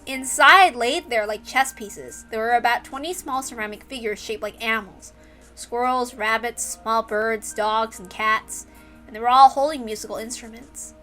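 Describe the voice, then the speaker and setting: A woman reads a story aloud as narrator. Her voice is fairly high and bright, and music plays behind the expressive reading. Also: moderate pace. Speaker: reader and narrator.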